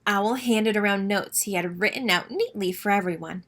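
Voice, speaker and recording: A woman reading a children's story aloud as an expressive narrator. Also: medium pitch, moderate pace.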